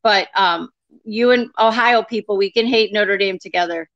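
A woman's voice: medium pitch, a bit bright. A woman comedian from Detroit with a Midwestern accent, joking chattily as a guest on a video call from home. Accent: Midwestern American. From Michigan, Detroit. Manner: chatty, quick joking asides. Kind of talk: casual chat. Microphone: video call laptop mic. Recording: dull video call audio.

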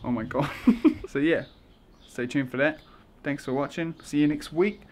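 A man's voice: fairly high pitch, moderate pace. A young man chatting casually with slang and the odd swear, filming himself with a handheld camera. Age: young adult. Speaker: travel vlogger. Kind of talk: casual travel vlog. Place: outdoors on a beach and trails, then a small room indoors. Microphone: handheld camera at arm's length. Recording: noisy handheld outdoor audio.

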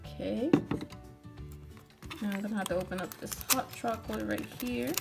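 Young woman, casual doll-cooking chat, sweet and talkative, music behind her, medium pitch. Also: normal speed, gravelly. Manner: chatty, sweet, talks to viewers and doll. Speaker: doll channel host. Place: toy kitchen indoors. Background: background music.

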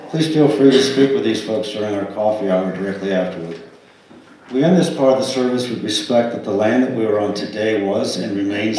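An older man reading a formal, measured welcome to a congregation as a church trustee. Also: fairly low pitch, a little mellow. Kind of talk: scripted service welcome and announcements. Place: small church sanctuary, indoors. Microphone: pulpit microphone, picked up by distant camera. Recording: echoey church hall, distant mic, faint noise.

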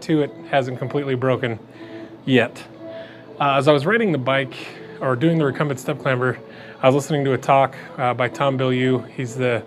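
A man talking casually to his viewers as a vlogger, in a plain-spoken, conversational way. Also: mid-range voice. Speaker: vlogger on a weight loss journey. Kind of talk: casual vlog talk to camera.

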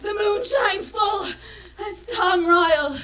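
A woman's voice: very high-pitched, animated, slow, pushed and bright, exaggerated voice. A woman playing a terrified radio reporter giving melodramatic eyewitness commentary in an old-time radio drama on stage. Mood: shocked, anguished. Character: terrified female radio reporter witnessing a monster on the loose. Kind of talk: 1920s radio drama acting. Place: dark small theater stage. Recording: live stage show, music and audience behind.

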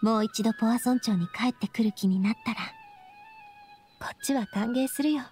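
A woman's voice: a little high, normal speed, a little mellow, lightly acted. A woman voicing a young female gang boss in an anime game, brave but weary and vulnerable, speaking frankly and casually. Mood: composed, kind. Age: young adult. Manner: casual, frank, feminine speech. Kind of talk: Japanese anime game voice acting. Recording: music behind the voice.

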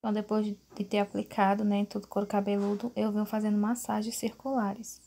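Woman in her 30s doing a hair tutorial from her bedroom, low dark husky voice, chatty and casual. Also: completely flat delivery, fast. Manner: chatty, addresses viewers as "gente", many fillers like "né". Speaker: beauty vlogger. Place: small bedroom at home, indoors.

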